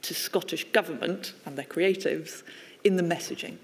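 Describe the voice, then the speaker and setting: An inquiry lawyer questioning a witness at a formal hearing, speaking in a measured, polite, slightly halting way. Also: mid-range voice, long reverb tail, rough recording. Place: public inquiry hearing room.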